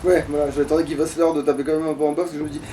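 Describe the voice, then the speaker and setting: A man's voice: a little high, little pitch movement, rapid-fire, mellow. A man commenting casually on his game with a friend, grumbling and swearing freely. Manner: foul-mouthed, grumbling. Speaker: let's player. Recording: distant mic, faint background noise.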